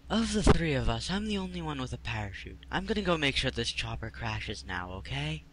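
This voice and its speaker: A man's voice: medium pitch, big pitch swings, a little fast, a bit bright, a little hiss or hum, low-quality recording. A man voicing a bitter, sardonic soldier fed up with idiot partners in an anime parody. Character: exasperated, hateful soldier stuck with idiot partners. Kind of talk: comedic cartoon parody dubbing.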